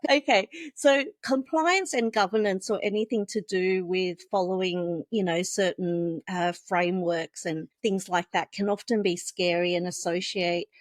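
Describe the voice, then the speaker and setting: A woman's voice: fairly low pitch, fairly even intonation, unhurried, few pauses, no echo, studio quality. Bubbly woman. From Australia. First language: Vietnamese. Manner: bubbly, laughs easily, explains things step by step. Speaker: guest, compliance consultant. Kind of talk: casual interview chat.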